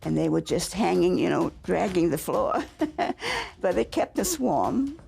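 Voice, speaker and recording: Older woman with a New England accent, low and gravelly, lively chatty storyteller. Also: unhurried, faint music behind. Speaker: veteran interviewee. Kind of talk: reminiscing in an interview.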